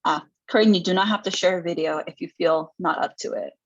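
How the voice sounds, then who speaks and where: A woman's voice: on the low side, quick pace, dry recording. A woman hosting a panel, chatting in an informal, effusive way over dull, lo-fi call audio. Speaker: panel host. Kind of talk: casual chat.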